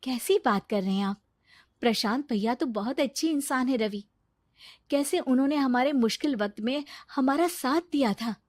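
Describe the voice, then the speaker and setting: A woman performs a strong-willed wife from a moral cartoon tale. Her voice sits fairly high and sounds dry. She speaks with wounded pride and firm resolve. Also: normal speed, no echo. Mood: indignant. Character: self-reliant, strong-willed wife standing up to her husband. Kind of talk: Hindi cartoon story dialogue.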